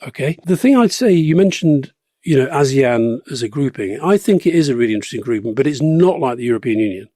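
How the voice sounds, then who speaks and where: A man in his sixties, co-hosting a podcast, telling stories in an animated way with repeated phrases and laughs. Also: medium pitch, quick pace, dry recording, studio quality. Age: 60s. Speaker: podcast co-host. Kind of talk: casual political chat.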